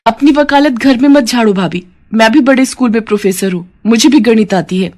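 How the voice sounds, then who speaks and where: A woman's voice: on the high side, a little fast, darkish tone, close to an everyday voice. A woman voicing a proud working daughter-in-law, indignant and childishly argumentative, in a family story. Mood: defiant.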